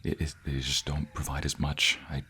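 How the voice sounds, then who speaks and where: A man voicing a devoted, protective vampire boyfriend in an intimate romantic audio roleplay, speaking earnestly and hesitantly, often in a breathy hush. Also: a bass voice, monotone, moderate pace, a little hiss or hum. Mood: urgent, pleading.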